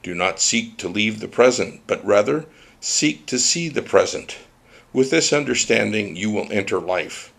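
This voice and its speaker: Guy doing a wise holy kid in a story, preachy and measured, gravelly low voice. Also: expressive, a bit bright, full character voice. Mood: solemn teaching. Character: wise divine child, solemn spiritual teacher. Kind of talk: storybook character reading.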